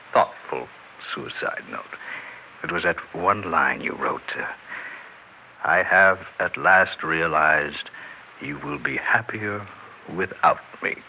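An actor playing a suave, sardonic, tipsy husband and cold-blooded killer in a theatrical 1960s radio drama. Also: male voice, a bass voice, animated, creaky, slight background noise, exaggerated voice. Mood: gloating, sardonic. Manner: theatrical, mock-tender, deliberate. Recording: muffled vintage radio recording with music.

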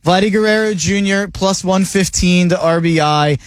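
A man hosting a sports betting radio show in a studio, rattling off his picks in a jokey, rapid-fire way. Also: high voice, no room sound. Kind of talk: casual sports radio talk. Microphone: studio mic.

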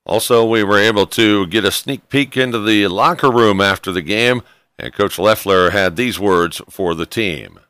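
A man's voice: fairly low pitch, normal speed, bright, forceful tone, smooth. A man hosting a sports show, introducing segments and interviewing guests in broadcast style.

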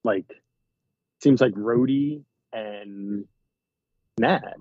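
A man's voice: fairly low pitch, very slow, no echo, narrow, tinny audio. A man co-hosting a podcast, musing and wondering aloud in casual chat. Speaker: podcast co-host.

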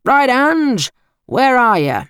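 A woman's voice: high-pitched, sing-song, slow, fairly bright tone, smooth, no room sound, studio quality, voice pushed up, full character voice. A British woman voicing a smarmy, self-important middle-aged uncle in an audiobook, brusque and offhand. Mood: loud, impatient.

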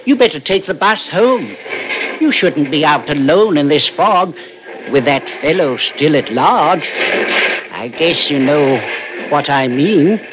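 An actor playing a nosy, casual factory timekeeper in an old-time radio suspense drama, chatty and matter-of-fact. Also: male voice, on the high side, lively intonation, slow pace. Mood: concerned. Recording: muffled, very noisy vintage radio broadcast.

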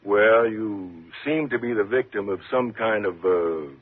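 An actor playing a calm, measured, patronizing prison warden in a 1950s radio thriller. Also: male voice, lowish voice, husky. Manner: measured, reassuring, condescending. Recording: muffled, lo-fi 1950s radio recording.

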